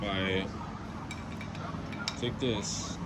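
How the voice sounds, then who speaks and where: A man with a very low voice talks through a hands-on repair in a loose, informal way, thinking aloud as he works. Clear background noise runs under his words. He sounds like a home mechanic narrating his own tinkering. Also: steady tone, very slow. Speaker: DIY mechanic vlogger.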